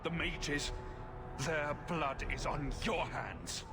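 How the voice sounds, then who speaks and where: An actor playing a firm, plain-spoken heroic champion in a video game, with a terse, steady manner. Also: male voice, fairly high pitch, takes it slowly. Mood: accusing. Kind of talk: dramatic video game acting. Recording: music behind the voice.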